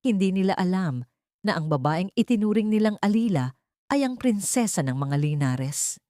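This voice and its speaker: A woman voicing a wealthy young man who speaks calmly and firmly to protect his sister. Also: medium pitch, moderate pace, dry recording, clean studio sound, lightly acted. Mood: cold. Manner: calm, commanding, gentle toward his sister.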